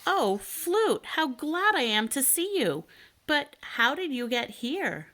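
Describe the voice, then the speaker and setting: A woman's voice: high voice, big pitch swings, slow, pushed and bright, pitched above the performer's own voice, exaggerated voice. A woman reading a children's storybook, voicing an eager, kindhearted young boy who is earnest and curious. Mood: delighted. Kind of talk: children's storybook read aloud.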